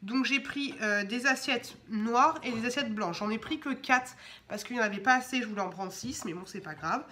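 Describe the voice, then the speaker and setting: A woman's voice: a little high, moderate pace, bright, forceful tone, a little hiss or hum. A young woman with a cold, chatting casually at home as she shows off her shopping haul, with lots of fillers and restarts. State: has a cold, stuffy. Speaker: vlogger showing shopping haul. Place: home indoors.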